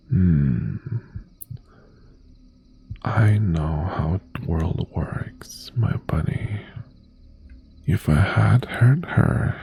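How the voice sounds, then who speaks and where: Casting: male actor as menacing, obsessive crime-boss ex-lover for ASMR roleplay; low-pitched, heavily creaky half-whisper, possessive and tender. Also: exaggerated voice. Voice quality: gravelly, breathy half-whisper. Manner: slow, deliberate, softly threatening. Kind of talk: boyfriend ASMR roleplay, intimate monologue.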